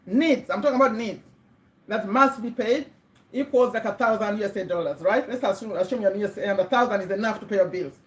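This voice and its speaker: A woman's voice: medium pitch, fast. A middle-aged woman from Uganda with a Ugandan accent, hosting a casual motivational livestream on a webcam, chatty and repeating words for emphasis as she checks in with viewers. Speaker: livestream host. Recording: echoey room.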